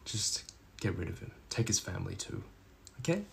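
A man's voice: mid-range voice, animated, a little fast, a little hiss or hum, close to an everyday voice. A man playing a crime boss boyfriend in a roleplay skit, doting on his girlfriend with baby talk and menacing toward everyone else. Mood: cold, businesslike. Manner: switches between sugary baby talk and cold threats.

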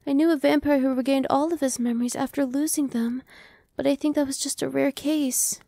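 A young woman voicing a gentle female vampire who speaks softly and slowly, sometimes whispering. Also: high-pitched, fairly even intonation, creaky, no echo. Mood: soothing. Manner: soft, slow and reassuring, dropping to whispers. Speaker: voice actress. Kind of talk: ASMR roleplay.

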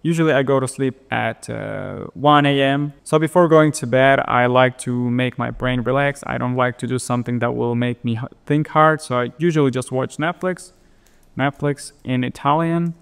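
A young man from Ukraine with a Ukrainian accent, calmly explaining his daily routine in a relaxed screen-share tutorial with fillers. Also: medium pitch, moderate pace, vocal fry, smooth, big-room sound. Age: young adult. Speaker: web designer and YouTuber. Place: indoors by a large window.

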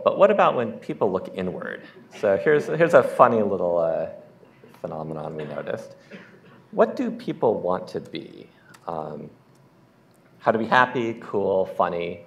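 A man's voice: deep voice, gravelly. A man giving a casual keynote demo in a lecture hall, with wry asides. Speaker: conference keynote speaker. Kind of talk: live demo presentation.

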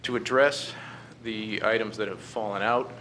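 A middle-aged American man giving a halting, measured formal briefing at a podium microphone. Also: fairly low pitch, fairly even intonation, sharp tone. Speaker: presenter to a city council. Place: council chamber, standing at a podium. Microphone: podium gooseneck microphone. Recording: clearly noisy meeting-room recording.

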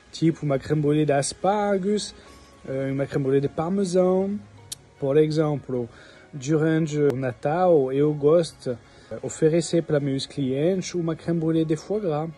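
A French-accented man in his forties talks into a phone at arm's length outdoors, telling his story as a private chef. His voice is mellow and a touch high, and he speaks very slowly, hunting for words with frequent "eh"s. Faint music plays underneath. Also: breathy edge. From France. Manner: slow and halting, with frequent "eh" pauses. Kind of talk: personal story to camera. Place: outdoors by a stone wall with plants. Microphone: phone held at arm's length, selfie framing.

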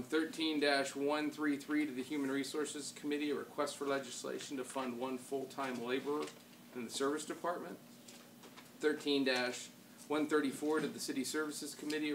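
An American man chairing a council meeting, speaking formally with informal asides and halting, repeated words. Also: mid-range voice, fairly bright tone. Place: wood-paneled council chamber. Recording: distant room microphone, faint background noise.

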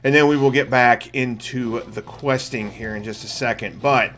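A man's voice: medium pitch, steady tone, moderate pace, a bit bright, slight background noise. A middle-aged man giving casual, explanatory livestream commentary on a game, chatting to viewers. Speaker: game streamer, channel host. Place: indoor room at home. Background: game music.

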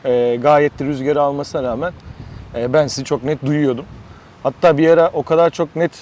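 A man's voice: fairly high pitch, steady tone, a little fast, darkish tone. A man giving his opinion as a rider in a casual, informal interview. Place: outdoors at a windy roadside stop. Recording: outdoor noise, light wind.

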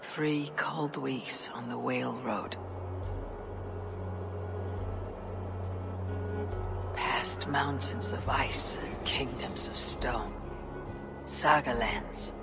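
A woman playing a bold, gruff Viking warrior in a video game, with a low husky voice. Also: steady tone, slow, music in the background, exaggerated voice. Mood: brooding, hushed. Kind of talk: video game cutscene acting. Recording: clear background noise.

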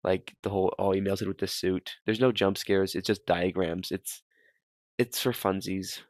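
A man's voice: sits low, steady tone, moderate pace, no room sound, clean studio sound. A young man giving casual, rambling reaction commentary as a host, often repeating his phrases. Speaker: host ranking horror videos.

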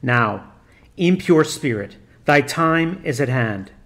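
A man playing a stern male priest in an audiobook reading, loud and commanding, with formal old-fashioned speech. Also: medium pitch, expressive, slow.

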